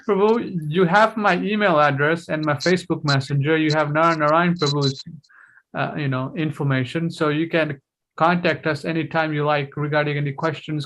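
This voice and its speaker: A man's voice: fairly high pitch, fairly even intonation, normal speed, fairly bright tone, poor audio. A man hosting a casual video-call discussion, chatty and full of questions and fillers. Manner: chatty, asks lots of questions, many fillers. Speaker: host.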